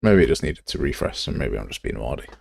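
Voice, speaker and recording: Man, low gravelly voice, animated pitch swings, grumbling, casual livestream commentary. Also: quick pace, dry recording. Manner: grumbling, thinking aloud. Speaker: livestream host. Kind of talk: casual livestream commentary while browsing software assets.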